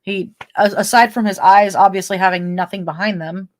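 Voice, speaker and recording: A woman's voice: medium pitch, fairly even intonation, fairly quick. A woman co-hosting a true-crime podcast, moving between reading notes and informal banter with her partner. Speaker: podcast co-host. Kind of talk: true-crime podcast talk, part read from notes, part casual chat.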